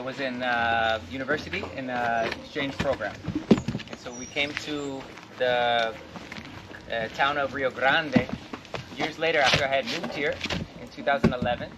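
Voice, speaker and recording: A man's voice: mid-range voice, background noise. A man from Portland, Oregon, casually telling his story as a cacao farm owner with lots of fillers. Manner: relaxed, rambling, frequent fillers. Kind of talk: casual guided tour and life story. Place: outdoors on a farm.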